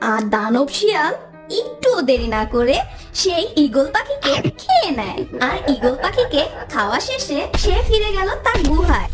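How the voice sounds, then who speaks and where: A woman narrating a scripted children's cartoon story. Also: high-pitched. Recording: music under the voice.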